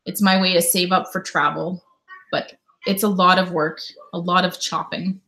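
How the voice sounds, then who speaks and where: A woman's voice: lowish voice, fairly even intonation, moderate pace, pushed and bright. A woman from Canada in her thirties, hosting a casual live stream from home and chatting with viewers as she reads out their comments. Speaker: YouTube host.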